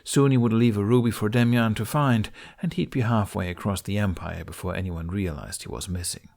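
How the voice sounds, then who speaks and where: A man's voice: on the low side, fairly quick, vocal fry, darkish tone. A man reading scripted fiction as an audiobook narrator.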